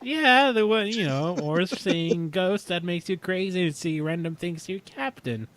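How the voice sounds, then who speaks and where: A man's voice: high-pitched, a little slow, fairly bright tone. A man talking casually as a panelist in a fan discussion podcast.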